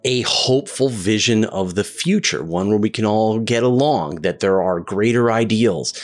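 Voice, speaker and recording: A man in his fifties hosts a relaxed solo question-and-answer show, chatting his way through answers and personal stories. His voice sits on the low side, and his talk drifts along with plenty of "uh" and "you know" fillers. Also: soft background music. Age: 50s. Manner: reads a long list of names quickly. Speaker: host. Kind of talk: casual solo Q&A monologue.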